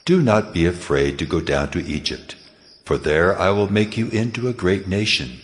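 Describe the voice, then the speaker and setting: A man voicing God, deep and solemn. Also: natural voice. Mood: solemn, reassuring. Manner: solemn, measured pronouncements. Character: God, a deep, calm, commanding divine voice. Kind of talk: dramatized scripture reading.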